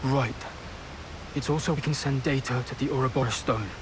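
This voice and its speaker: A man voicing a calm, earnest young male fighter in a video game, measured and soft-spoken. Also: mid-range voice, background noise, close to an everyday voice. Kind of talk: video game cutscene acting.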